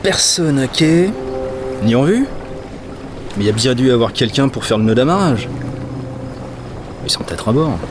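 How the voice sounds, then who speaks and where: A man's voice: medium pitch, lively intonation, moderate pace, pauses often, music in the background, lightly acted. A man playing a curious, uneasy dockworker in an audio drama, wondering aloud to himself. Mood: searching. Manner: talks to himself, exclamatory and wondering. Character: curious, uneasy male dockworker exploring a ghost ship. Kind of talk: audio fiction acting.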